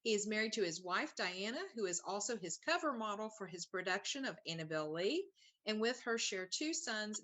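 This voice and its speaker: A woman's voice: medium pitch, a bit bright. A woman hosting a live launch event, giving introductions and chatting with guests. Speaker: event host.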